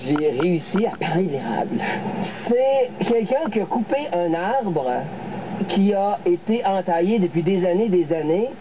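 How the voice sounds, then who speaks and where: A man's voice: sits high, noisy background. A man with a Quebec French accent explaining things casually as an outdoor project organizer. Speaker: filmmaker, urban sugar bush organizer. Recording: dull sound, loud music behind.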